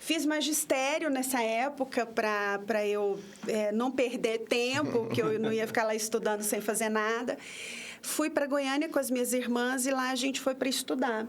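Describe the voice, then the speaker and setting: Hesitant woman in her fifties, higher pitch. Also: steady tone, normal speed. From interior of São Paulo state, Brazil. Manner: hesitant, many restarts and fillers. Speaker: public prosecutor, podcast guest. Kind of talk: conversational interview.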